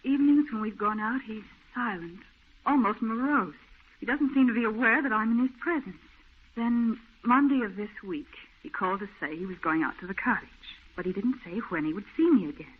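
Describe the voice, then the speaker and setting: A woman's voice: fairly high pitch, brisk, husky. A woman acting an anxious, lovelorn young woman in an old-time radio drama, speaking earnestly and emotionally. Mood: troubled. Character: anxious, lovelorn young woman fretting over her troubled fiancé. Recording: muffled, narrow-band old radio recording.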